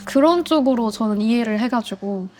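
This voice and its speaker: A woman in her twenties on a blind date show, talking in a polite, measured way. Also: a little high, lively intonation. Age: 20s. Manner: polite and measured, formal speech. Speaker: dating show guest. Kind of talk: casual dating chat. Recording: music bed under the talk.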